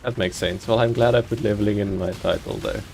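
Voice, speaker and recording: A man from South Africa with a slightly Americanized South African accent, chatting casually and haltingly with viewers while streaming a game. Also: lowish voice, creaky, a little hiss or hum. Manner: relaxed, chatty, halting with many ums and restarts, chuckles.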